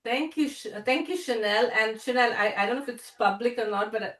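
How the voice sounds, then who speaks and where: A woman's voice: on the high side, brisk, a bit bright. Chatty woman hosting a video call. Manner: chatty, laughs often, restarts sentences. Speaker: host and founder. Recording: echoey room, distant from mic.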